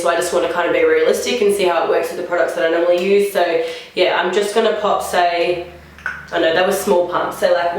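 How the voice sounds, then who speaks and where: A young woman vlogger chatting casually through a makeup try-on, sounding a bit nasal from a flu and filling her talk with "like". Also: mid-range voice. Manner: chatty, rambling, lots of "like" fillers. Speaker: beauty vlogger. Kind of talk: casual makeup first-impressions chat. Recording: very echoey room.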